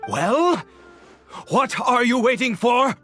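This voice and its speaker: A woman's voice: a little high, very animated, takes it slowly, fairly bright tone, faint music behind, heavily acted. A woman voicing a stern, suspicious, protective guardian in a video game, haughty and commanding. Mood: stern, challenging. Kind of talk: dramatic video game voice acting.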